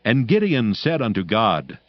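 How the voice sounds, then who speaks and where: A man narrating scripture from a script as a storyteller, solemn and measured. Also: on the low side, wildly swooping pitch, no room sound. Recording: dull sound, faint music behind.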